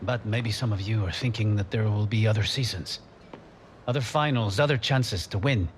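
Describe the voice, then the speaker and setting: A man playing a passionate, commanding football coach giving an emphatic, measured team talk in a game cutscene. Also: lowish voice, slight background noise, close to an everyday voice. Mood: grave, intense.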